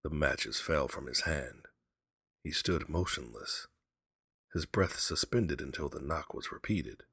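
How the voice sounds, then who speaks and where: A man's voice: extremely low pitch, expressive, measured pace, sharp tone, breathy edge, dry recording, studio quality. A man giving a dramatic scripted narration of a horror story.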